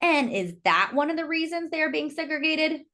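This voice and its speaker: A woman's voice: high voice, expressive, normal speed, sharp tone, pitched above the performer's own voice. A woman voicing a male lawyer who questions a witness formally and pointedly in a storybook read-aloud. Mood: probing.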